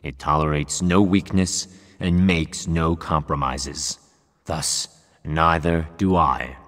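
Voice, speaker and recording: A man's voice: extremely low pitch, fairly even intonation, slow, a bit bright, heavily acted. A man playing a stern, ominous elder caterpillar in a video game, speaking in solemn, formal admonitions. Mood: severe, merciless. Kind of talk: theatrical video game character dialogue. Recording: long cavernous reverb.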